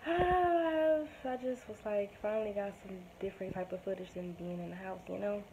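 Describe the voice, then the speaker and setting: A woman's voice: mid-range voice, normal speed, slight background noise, low-quality recording. Young woman w/ a sore throat, losing her voice, rambling casual vlog, lots of ums, phone held close at home. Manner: rambling, soft-spoken, many ums. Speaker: vlogger. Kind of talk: casual chat to camera. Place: small home room indoors. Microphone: phone or webcam at arm's length.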